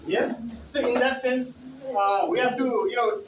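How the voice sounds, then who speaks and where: A man's voice: extremely high pitch, fairly even intonation, a little slow. A man with a Nepali accent giving a conference talk on English teaching, with many "you know" fillers and repeated key words. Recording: roomy hall from far off, noisy.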